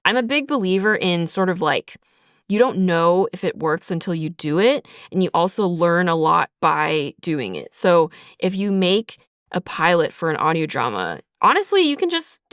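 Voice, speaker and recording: A woman's voice: fairly low pitch, sing-song, normal speed, a bit bright, no echo, clean studio sound. A woman speaking as an interview guest, explaining her creative writing work in a casual, conversational way. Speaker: audio drama writer and creator, interview guest.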